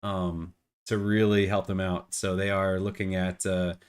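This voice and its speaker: A man's voice: sits low, little pitch movement, a little slow, clear, resonant tone. A middle-aged man with a cold and a phlegmy voice, co-hosting a casual gaming podcast and often repeating words as he restarts. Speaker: podcast co-host.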